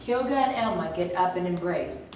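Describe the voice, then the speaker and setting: A woman's voice: on the low side, expressive, moderate pace, darkish tone. A woman reading the stage directions aloud in a plain, matter-of-fact way. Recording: noisy echoey hall from far off.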